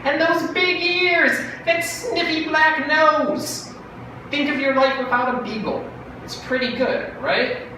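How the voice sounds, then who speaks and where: A man voicing a fast-talking, wheedling brother pitching a bargain in a dramatic monologue from a short story. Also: heavily acted. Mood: eager, cajoling. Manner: salesman-like, cajoling, animated. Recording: very echoey room, distant mic, noisy.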